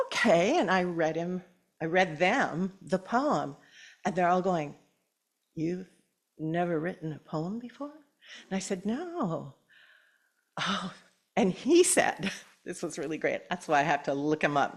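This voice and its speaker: An older woman telling a personal story at a church gathering and reading her own poem aloud, with gentle laughter as she talks. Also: lowish voice, big pitch swings, a little slow, husky. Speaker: church speaker.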